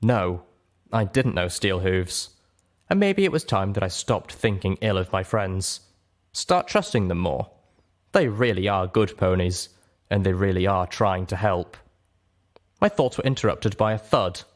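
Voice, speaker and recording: A man narrates an audiobook in the first person. His voice is low, with very lively intonation and a dramatic storytelling delivery. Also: measured pace.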